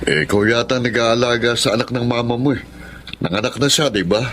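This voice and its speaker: An actor in a radio drama playing a concerned, protective uncle with a Filipino accent, plain-spoken and questioning. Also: male voice, mid-range voice, steady tone, quick pace, fairly bright tone, slight background noise, close to an everyday voice. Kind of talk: Tagalog radio drama acting.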